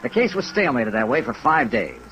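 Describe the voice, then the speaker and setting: A skeptical male investigator from a 1950s radio detective show. His delivery is clipped and hard-boiled, with a very mobile mid-range pitch. Faint rain noise sits behind the old recording. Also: brisk, poor audio. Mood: dry, matter-of-fact. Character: brisk skeptical male insurance investigator narrating his case. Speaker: insurance investigator. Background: steady rain sounds.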